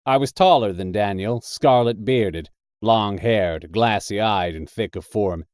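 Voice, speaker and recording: A man voicing a tough, thickset American drifter who narrates his own purgatory story in a deliberate, hard-boiled, colourful way. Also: fairly low pitch, darkish tone, no echo. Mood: brooding, deliberate. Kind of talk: dramatic first-person short story narration.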